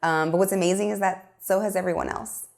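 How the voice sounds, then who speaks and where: A woman's voice: medium pitch, fairly even intonation, brisk, vocal fry, darkish tone. A young adult woman filmmaker from Miami, speaking earnestly and thoughtfully in a studio interview, with frequent ums. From Miami, USA. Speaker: filmmaker guest. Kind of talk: interview conversation.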